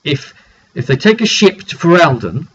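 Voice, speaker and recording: A man's voice: on the high side, sing-song, moderate pace, fairly bright tone. Man recapping a roleplaying game, dull noisy recording. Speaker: game master recounting a tabletop campaign. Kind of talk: informal video recap of a roleplaying session. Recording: dull lo-fi sound with clear noise.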